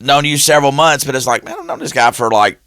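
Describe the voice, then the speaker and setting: A man's voice: mid-range voice, fast talker, smooth, no room sound, studio quality. A man, telling stories in casual chat and acting out the dialogue as he goes. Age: 50s. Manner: animated storyteller who acts out quoted dialogue.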